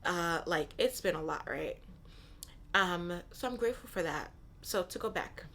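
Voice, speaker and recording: A woman's voice: lowish voice, expressive, unhurried, a bit bright, a little hiss or hum. A woman in her thirties, born in Nigeria and raised in Brooklyn, hosting her own podcast in a candid, casual way, often repeating herself and adding "right?". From born in Nigeria, raised in Brooklyn, New York. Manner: candid, repeats phrases, frequent "right?" asides. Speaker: podcast host. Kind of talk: casual solo podcast talk.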